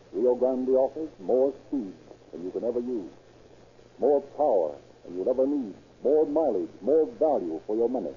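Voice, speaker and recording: A man's voice: mid-range voice, husky. A man announcing scripted sponsor copy in 1930s radio style. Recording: tinny, noisy vintage radio recording.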